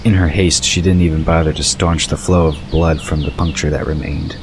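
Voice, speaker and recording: A man's voice: extremely low pitch, steady tone, moderate pace, background noise. A man reading scripted narration for an audio drama.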